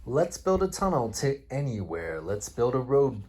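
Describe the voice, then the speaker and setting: A man reading picture books aloud as a father at bedtime, playfully pausing to chat with his child. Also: mid-range voice, big pitch swings, a little mellow, husky. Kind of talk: read-aloud picture book storytelling mixed with casual chat.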